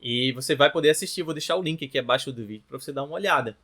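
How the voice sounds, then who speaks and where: A man in his thirties from northeastern Brazil with a Brazilian Rio Grande do Norte accent, teaching a relaxed lesson to camera and often tagging his phrases with questions. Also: medium pitch, racing. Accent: Brazilian Portuguese, from Rio Grande do Norte. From Natal, northeastern Brazil. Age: 30s. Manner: chatty, ends phrases with tag questions. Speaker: language teacher.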